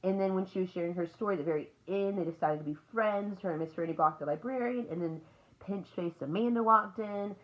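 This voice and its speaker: A woman reading a children's book aloud as an expressive storyteller. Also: mid-range voice, fairly quick, low-quality recording.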